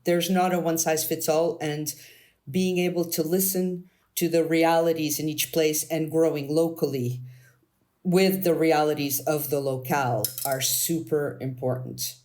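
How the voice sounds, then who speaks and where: A woman's voice: low-pitched, steady tone, measured pace, a little mellow. A woman from Canada answering interview questions as a board candidate, earnest and thinking aloud.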